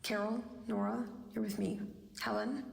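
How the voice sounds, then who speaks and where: A woman playing a commanding head nurse with a condescending calm, in a radio theatre drama. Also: medium pitch, gravelly. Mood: calm, controlling. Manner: brisk orders, condescendingly calm. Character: commanding female head nurse, falsely soothing, controlling. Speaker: actor in audio drama. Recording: echoey reverb, faint background noise.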